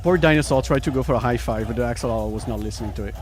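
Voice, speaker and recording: A man rambling casually and informally to his livestream viewers, animated and loose in his wording. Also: medium pitch, very fast, faint music behind. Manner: animated, laughs often. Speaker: variety streamer and host.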